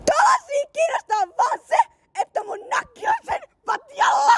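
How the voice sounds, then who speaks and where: A woman voicing a foul-mouthed, hot-tempered male imp boss in an amateur fan dub, shouting and swearing on a home microphone. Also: extremely high pitch, sing-song, exaggerated voice. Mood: ranting.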